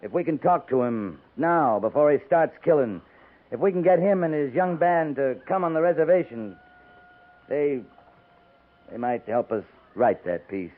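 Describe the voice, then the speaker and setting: An actor playing a weary, principled cavalry captain in 1950s radio drama, clipped and grave in delivery. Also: male voice, a little high, expressive, moderate pace. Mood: earnest. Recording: muffled, narrow-band 1950s radio recording.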